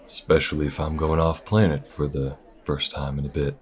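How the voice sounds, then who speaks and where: Man acting as a very deep-voiced folksy space bounty hunter. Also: little pitch movement, some chatter behind. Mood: relaxed, sincere. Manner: folksy, unhurried, reassuring. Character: folksy, loyal male space bounty hunter, steady and protective. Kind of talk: intimate audio roleplay acting.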